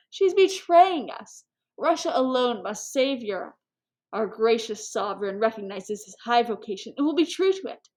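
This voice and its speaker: Woman reader as forty-year-old court hostess, higher-pitched, enthusiastic, impetuous, declamatory, storybook.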